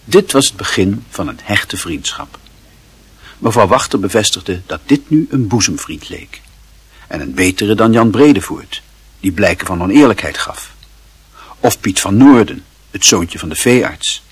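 A man narrating literary fiction as an expressive audiobook storyteller. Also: on the low side, unhurried, slightly rough.

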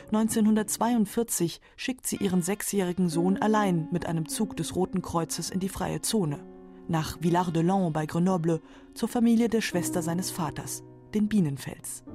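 A woman narrating a scripted radio documentary in a measured, matter-of-fact way. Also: fairly low pitch, warm tone.

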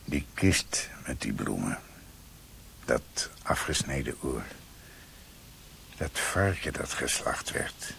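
Deep-voiced, haunted doctor. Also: male voice, big pitch swings, gravelly, noisy background, heavily acted. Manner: halting, haunted, brooding delivery. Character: grieving, guilt-ridden male doctor recalling a tragedy. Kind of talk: Dutch radio drama acting.

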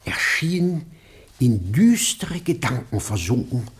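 Awed eccentric storyteller, very husky and breathy, swooping intonation, halting, radio play. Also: male voice, medium pitch, sing-song, heavily acted. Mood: dramatic, halting. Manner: halting, theatrical storytelling with hushed breathy passages. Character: eccentric, awed male eyewitness recounting a ghostly sighting. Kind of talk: German radio drama acting.

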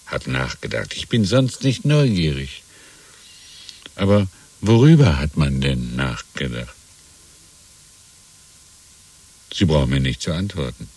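An actor playing a sly old landlord and informer in a radio drama, husky and unctuous, with menacing mock politeness. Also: male voice, extremely low pitch, very animated, normal speed, a little mellow, full character voice. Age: older. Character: sly old landlord, secret thought-police informer, falsely courteous. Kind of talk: 1970s German radio drama acting.

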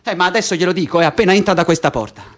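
An actor playing a stubborn, hot-headed, foul-mouthed political prisoner in a theatrical radio drama, speaking vehemently and repeating himself. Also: male voice, high voice, very fast, close to an everyday voice. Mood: indignant, ranting.

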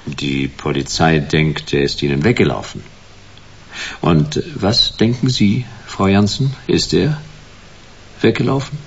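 An actor playing a wry, laid-back private detective who narrates a radio play in dry, clipped, ironic phrases. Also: male voice, very deep voice, a little slow, gravelly, slightly rough, lo-fi sound, exaggerated voice. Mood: wry questioning. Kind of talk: radio play, first-person noir narration.

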